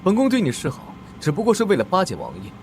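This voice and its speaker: An actor playing a cold, arrogant young crown prince who speaks with aloof disdain. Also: male voice, high-pitched, huge pitch swings, fast talker, darkish tone, a little hiss or hum, exaggerated voice. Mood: contemptuous.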